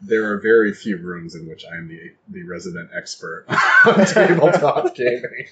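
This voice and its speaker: A man chatting casually as an interview guest, self-deprecating and quick to laugh. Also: mid-range voice, big pitch swings, vocal fry, lo-fi sound, heavy background noise. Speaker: podcast guest, playwright.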